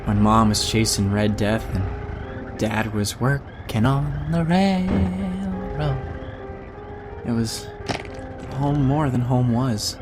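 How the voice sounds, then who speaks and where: An actor playing a dazed, self-loathing young man in an audio drama, rambling in a woozy, drawn-out way. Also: mid-range voice, animated, over music. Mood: wistful, bitter.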